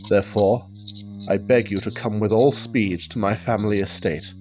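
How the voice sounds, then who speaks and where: An actor playing an ailing, anxious gentleman in a period radio drama, speaking formally and with melancholy. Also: on the low side, fairly even intonation, moderate pace, faint music behind, natural voice, rough recording. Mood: gloomy, pleading. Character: ailing, anxious gentleman, gracious but morbidly gloomy.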